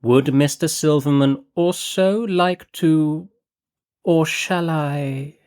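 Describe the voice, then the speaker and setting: A man's voice: fairly high pitch, slow pace, darkish tone, clear, resonant tone, voice pushed up. A man reading an audiobook voices a gushing, flighty aristocratic lady, a scheming mother, with a theatrical manner.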